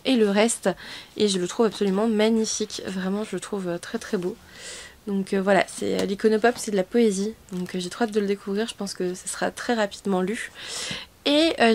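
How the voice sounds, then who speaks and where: A woman's voice: mid-range voice, a little mellow. A woman chatting casually to camera as a book vlogger, in a rambling, self-correcting way.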